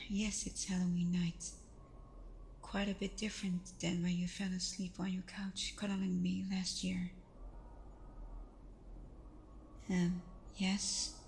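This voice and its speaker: Soft, even-toned vampire girlfriend played by a woman. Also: medium pitch, a little hiss or hum, lightly acted. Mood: tender, surprised. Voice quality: soft, breathy, often near whisper. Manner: soothing, reassuring, unhurried. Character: gentle, protective vampire girlfriend, caring and patient. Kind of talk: ASMR roleplay.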